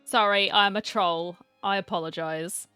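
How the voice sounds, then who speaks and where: A woman's voice: medium pitch, steady tone, unhurried, sharp tone, faint music behind. A woman giving casual, playful reaction commentary as she plays through a game's story, chatty and cheeky. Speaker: gamer reacting to a story quest.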